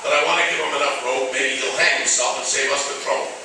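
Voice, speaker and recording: A man's voice: medium pitch, fairly even intonation, fast, close to an everyday voice. A man playing a stern, suspicious fire chief in a radio drama, with an authoritative, dryly sardonic way of questioning. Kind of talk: radio theatre acting. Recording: very echoey, distant mic, faint noise.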